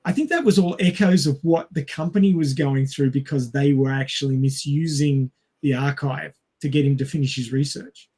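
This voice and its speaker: A man co-hosting a casual film discussion, speaking in halting, hesitant phrases. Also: medium pitch, steady tone, warm tone, husky. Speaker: movie discussion podcast co-host.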